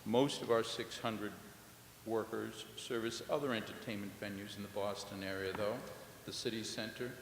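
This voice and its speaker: A man who is a union president, giving brisk, businesslike prepared testimony at a public hearing. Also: fairly low pitch, steady tone, vocal fry. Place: large public hearing hall. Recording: roomy echo, long hall reverb, faint hiss.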